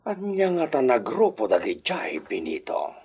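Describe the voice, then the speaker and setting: A commanding hacienda owner acted by a man in a radio drama, with a mid-pitched voice. He is wary and used to giving orders. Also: wildly swooping pitch, normal speed, darkish tone, slightly rough, full character voice. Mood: suspicious. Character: authoritative, wary male hacienda landowner.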